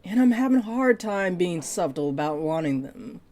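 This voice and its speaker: A woman playing a flirty, possessive part-dragon librarian in an intimate roleplay audio drama, speaking to the listener in a teasing, deliberate way. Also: fairly low pitch, animated, dark tone, close to an everyday voice. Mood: candid, confiding. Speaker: voice actor.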